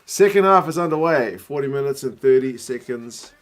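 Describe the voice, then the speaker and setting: Relaxed Kiwi guy chatting on a stream. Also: mid-range voice, normal speed. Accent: New Zealand, mixed from years abroad. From New Zealand. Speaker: rugby watch-along streamer. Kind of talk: casual live-stream chat reading viewer messages.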